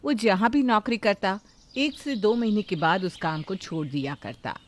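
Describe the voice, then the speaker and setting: A woman's voice: mid-range voice, expressive, moderate pace. A woman reading scripted narration for a moral story, in the manner of a storyteller.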